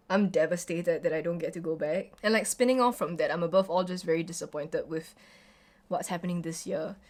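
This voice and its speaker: Singaporean woman, 20s, vlogger; casual, opinionated home chat, slightly low voice. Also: normal speed, a little mellow. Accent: Singaporean English. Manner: chatty, opinionated, frequent "like" fillers. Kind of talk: casual get-ready-with-me chat.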